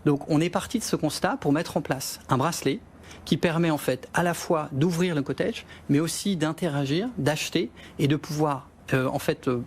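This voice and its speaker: A man, a company executive, answering interview questions in a measured way with frequent hesitations. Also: on the high side, a little hiss or hum.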